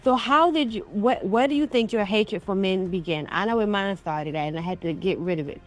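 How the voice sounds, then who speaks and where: A woman's voice: medium pitch, a little fast. Woman radio host with music behind. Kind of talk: conversational interview.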